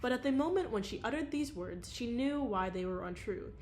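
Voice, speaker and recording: Young woman, reads a novel aloud, fairly high voice, chatty asides. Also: expressive, a little fast, darkish tone, a little hiss or hum. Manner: casual, chatty asides between passages. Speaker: audiobook reader.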